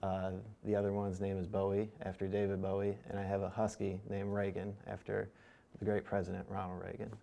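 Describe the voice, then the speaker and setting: A middle-aged man from Michigan, answering and asking questions in a measured, deliberate way with filler pauses. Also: deep voice, completely flat delivery, gravelly, mellow. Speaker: returning student and interviewee. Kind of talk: casual interview chat.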